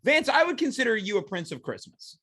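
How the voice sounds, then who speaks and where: A man's voice: extremely high pitch, very animated, brisk, a bit bright. A man hosting a casual comedy talk show, joking and rambling playfully while congested with a cold. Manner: playful, rambling, self-mocking jokes.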